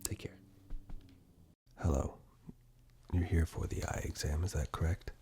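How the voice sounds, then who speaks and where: A man doing soft-spoken, half-whispered ASMR roleplay, reassuring and unhurried, speaking directly to the listener close to a binaural microphone. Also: steady tone. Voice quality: breathy whisper mixed with very deep, gravelly murmur. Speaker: ASMR performer playing caregiver and eye examiner roles.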